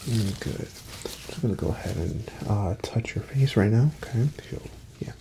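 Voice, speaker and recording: A man doing a soft-spoken ASMR doctor roleplay, gently reassuring as he gives unhurried instructions, often slipping into a breathy whisper. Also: fairly low pitch. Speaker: ASMR performer playing a doctor.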